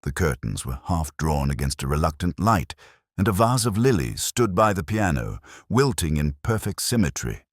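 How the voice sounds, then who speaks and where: A middle-aged man narrating a first-person detective story in a measured, brooding, literary manner. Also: sits low, very animated, no echo, studio quality.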